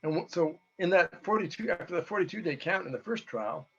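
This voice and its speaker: A man with a hoarse voice gives an informal, halting technical presentation, explaining data as a researcher. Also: sits high, animated, fairly bright tone. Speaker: presenter, researcher giving a talk to beekeepers. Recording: dull, lo-fi audio.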